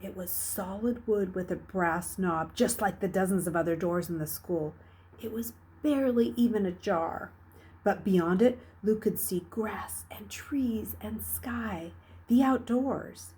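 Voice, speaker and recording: A woman reading a novel aloud as a storyteller, with dramatic, expressive delivery. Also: mid-range voice, measured pace, slightly rough. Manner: dramatic, expressive, with hushed dips and emphatic rises. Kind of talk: read-aloud storytelling narration.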